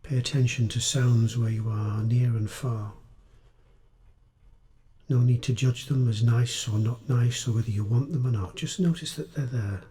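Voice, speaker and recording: A man guides a meditation with a dark, relaxed tone and a middling pitch. His delivery is gentle and unhurried, leaving space between short phrases as he instructs the listener. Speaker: meditation teacher.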